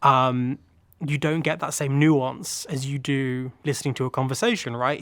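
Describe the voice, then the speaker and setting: A man's voice: fairly high pitch, a bit bright, dry recording. A man who works as an accessibility consultant and teacher of the deaf, answering interview questions in an earnest, thoughtful way and often hedging with "sort of".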